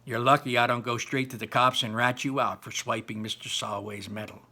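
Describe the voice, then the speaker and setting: An indignant teenage boy from a storybook, acted out by a man reading aloud. He is defiant and sputtering, with a bright, forceful edge. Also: medium pitch, flat delivery, brisk. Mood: seething defiance.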